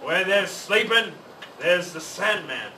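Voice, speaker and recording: A man's voice: high voice, animated, slow, pushed and bright, heavily acted. A man voicing a stubborn, greedy pirate captain who exclaims in pirate talk, from a children's storybook. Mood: scheming, insistent. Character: stubborn, greedy, grumbling male pirate captain hungry for gold. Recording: roomy, distant mic, faint noise.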